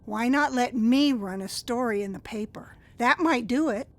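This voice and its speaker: A woman's voice: fairly high pitch, normal speed, close to an everyday voice. A woman playing a sly, confident newspaper reporter in a contemporary radio drama. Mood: sly, pleased.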